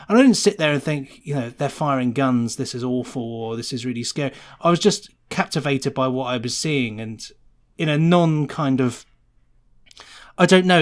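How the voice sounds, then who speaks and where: A man talking casually as a podcast guest, in a chatty, rambling way. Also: mid-range voice. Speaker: podcast guest, sound designer. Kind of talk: casual conversational interview.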